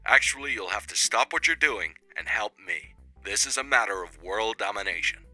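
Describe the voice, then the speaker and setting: A man playing a grave covert-agency commander in a game roleplay, formal, deliberate and urgent. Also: fairly low pitch, animated, bright, forceful tone, no echo, soft background music, full character voice. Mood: grave, secretive. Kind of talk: cartoonish game roleplay acting.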